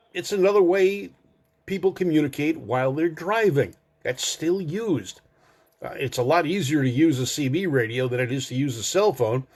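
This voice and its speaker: A man's voice: fairly high pitch, a little mellow. An older man hosting a car enthusiast show, talking casually and explaining things in a folksy way with wry asides. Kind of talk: casual informative monologue to a live audience online.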